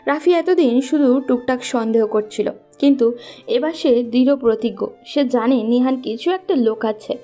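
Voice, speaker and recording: A woman's voice: on the high side, normal speed, mellow. A woman narrating a scripted story as its storyteller.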